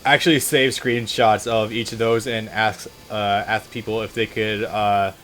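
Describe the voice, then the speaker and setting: Laid-back low-voiced man gaming. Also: little pitch movement, moderate pace, fairly bright tone, slight background noise. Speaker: co-commentator on a let's play. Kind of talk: casual chat over gameplay. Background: game music.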